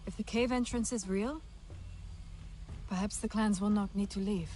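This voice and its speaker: A woman playing a grave, wary alien clan ally in a video game, solemn and measured. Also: medium pitch, steady tone, dark tone, close to an everyday voice. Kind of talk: video game cutscene acting.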